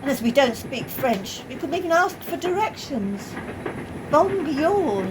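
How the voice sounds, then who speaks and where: A woman's voice: big pitch swings, unhurried. A woman playing a blunt, put-upon British working-class mother in a radio play, by turns scolding and resigned. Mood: weary grumbling. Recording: clear noise, train rumble.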